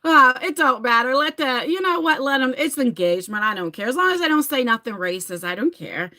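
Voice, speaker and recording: A chatty woman in her late forties, from New Jersey, talks into her phone to her live-stream viewers. Her voice is bright and a touch high. Her pitch swings widely as she laughs and answers comments. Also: animated, fairly quick. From New Jersey, USA. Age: 40s. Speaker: livestream host.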